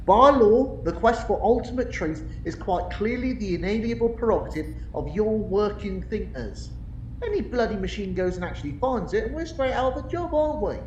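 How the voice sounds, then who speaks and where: An amateur actor playing a belligerent, streetwise philosopher who argues like a shop steward in a radio comedy. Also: male voice, sits high. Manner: argumentative, truculent. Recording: echoey, distant, faint noise.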